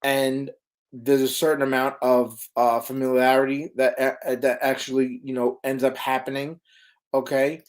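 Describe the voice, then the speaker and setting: A man's voice: mid-range voice, level tone, normal speed. A man teaching emergency medical crews in a casual live stream, chatty, with lots of fillers and "right?" tag questions. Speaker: EMS educator.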